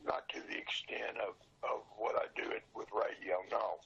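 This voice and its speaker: An older man, sixty or above, chatting casually as an interview guest in a husky, gravelly voice, plain-spoken and folksy. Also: fairly low pitch, normal speed, a crowd in the background. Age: 60s or older. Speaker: interview guest, old radio show dealer. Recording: dull lo-fi audio.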